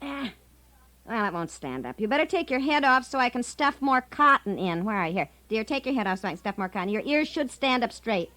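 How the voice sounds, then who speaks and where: A woman's voice: fairly high pitch, big pitch swings, brisk, pushed and bright. A woman with an American accent playing a coaxing, persistent middle-aged wife who fusses and cajoles, in the style of a 1960s radio comedy sketch. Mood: amused, fussing. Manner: fussing, cajoling.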